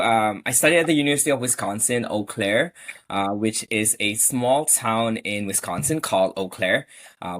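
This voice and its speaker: A man's voice: on the low side, fairly bright tone. A man in his thirties from Malaysia, answering interview questions conversationally as a podcast guest, in an earnest, storytelling way. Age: 30s. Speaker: podcast guest, immigration attorney.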